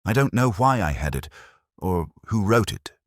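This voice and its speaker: A man plays a glamorous, desperate woman in a detective story. The voice stays low for her, yet swings up and down as she pleads haltingly in fear. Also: big pitch swings, no room sound, clean studio sound. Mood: frightened.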